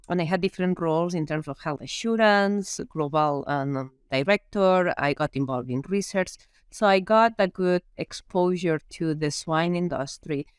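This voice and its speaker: A woman's voice: lowish voice, clean tone, no echo, clean studio sound. A woman in her fifties from Spain with a Spanish accent, a veterinary professor being interviewed and talking it through thoughtfully with frequent ums. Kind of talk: podcast interview.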